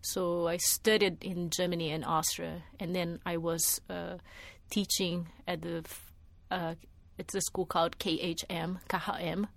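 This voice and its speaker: A woman's voice: fairly low pitch, little pitch movement, measured pace, gravelly, few pauses, no room sound. A middle-aged woman from Brazil, a media artist answering interview questions in a thoughtful way, with many restarts and fillers. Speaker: media artist and former art teacher.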